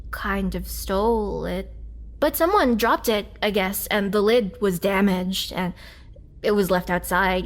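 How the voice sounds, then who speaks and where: Woman as young vampire woman, remorseful, gentle, soft earnest delivery, slow halting pace, mid-range pitch, intimate roleplay audio. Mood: sheepish, casual.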